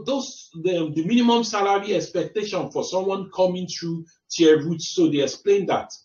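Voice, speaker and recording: Man with a Nigerian accent, roomy sound. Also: high voice. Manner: explanatory, repeats and restarts phrases, addresses viewers directly. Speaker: careers adviser. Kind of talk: screen-share walkthrough of a website. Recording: distant roomy mic, dull lo-fi sound.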